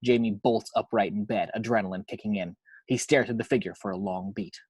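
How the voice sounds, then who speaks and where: A man's voice: lowish voice, steady tone, fairly quick, darkish tone, husky, no room sound. A man reading action descriptions from a screenplay as scripted narration.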